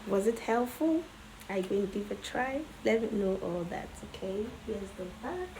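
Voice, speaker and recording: A woman with a hoarse voice from days of coughing, walking viewers through a headwrap tutorial in a casual, chatty way and often checking in with "okay?". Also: medium pitch, expressive, normal speed, darkish tone, a little hiss or hum, low-quality recording. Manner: chatty, keeps adding "okay?". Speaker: tutorial host.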